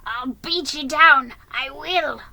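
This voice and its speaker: Woman acting loud, bullying horse owner, bright-edged. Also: high-pitched, takes it slowly, pitched above the performer's own voice, exaggerated voice. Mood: threatening. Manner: shouting, threatening, archaic rustic wording. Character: angry bullying man, rough horse owner with a whip. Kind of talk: storybook character voice.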